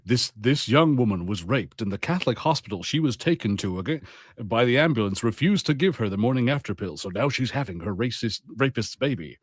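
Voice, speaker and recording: A man co-hosting a film podcast, chatting casually and telling things in an animated way with mock impressions. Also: mid-range voice, fairly quick, breathy edge, no echo, clean studio sound. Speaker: podcast co-host. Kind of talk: casual banter on a film watch-party podcast.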